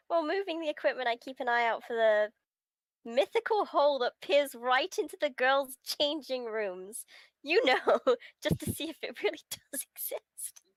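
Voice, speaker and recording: A woman's voice: high voice, lively intonation, a little slow, pauses often, dry recording. A woman streamer reading a visual novel aloud, giggly and breaking from the script with casual reactions. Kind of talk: live stream read-aloud with casual asides.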